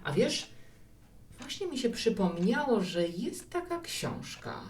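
A woman's voice: on the high side, very animated, takes it slowly, a little mellow, a little hiss or hum. A woman playing a hospitable, reflective bar owner in a radio play, coaxing and musing as she talks. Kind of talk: Polish radio play dialogue. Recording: roomy, distant mic with music.

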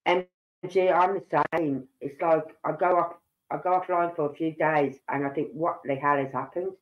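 A middle-aged man, slow and rambling as he hosts a livestream chat with a head cold and sore throat. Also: a little high, fairly even intonation. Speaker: livestream host. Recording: dull lo-fi sound.

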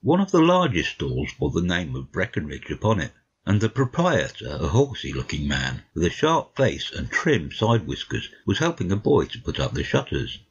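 A man's voice: deep voice, wildly swooping pitch, moderate pace, slightly rough. A man narrating a literary audiobook from a script. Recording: slightly dull, lo-fi audio.

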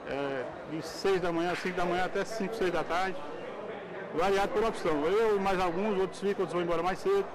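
A man's voice: high voice, steady tone, brisk, rough recording. A middle-aged man who is a wholesale vendor, answering interview questions about his hours. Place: covered wholesale market. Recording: market crowd noise.

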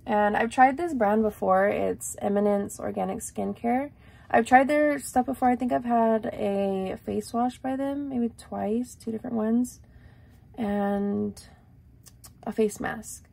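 Raspy-voiced young woman chatting casually. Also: on the high side, measured pace, a little mellow. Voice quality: raspy, dry morning voice. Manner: rambling, lots of likes and ums. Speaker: vlogger. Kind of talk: casual chat to camera. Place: small room indoors.

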